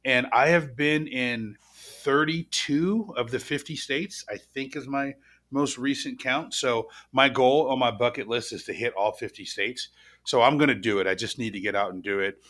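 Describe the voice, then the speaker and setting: Bright-voiced chatty American man. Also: medium pitch, moderate pace. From west coast of the US. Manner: relaxed, chatty, repeats words while starting sentences. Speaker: podcast co-host. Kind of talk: casual chat.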